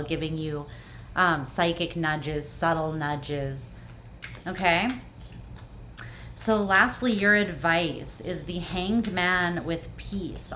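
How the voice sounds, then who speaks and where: Low-voiced woman, voice going hoarse. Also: a bit bright, slight background noise. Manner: earnest, reassuring, with frequent "like" and "um" and repeated throat clearing. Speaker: tarot reader. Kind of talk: pick-a-card tarot reading, speaking to the viewer.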